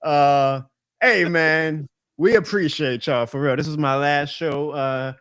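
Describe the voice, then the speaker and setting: Man, thirties, sports stream host, animated ranting, somewhat high voice. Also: slow pace, clear, resonant tone. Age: 30s. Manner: animated ranting, laughing often. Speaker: show host. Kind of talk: live sports talk stream.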